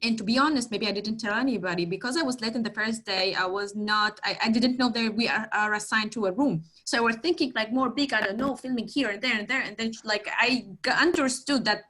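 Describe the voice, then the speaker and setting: A woman, a guest filmmaker, chatting on a video call in a halting way with many restarts. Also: a little high, pushed and bright. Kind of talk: casual video call chat.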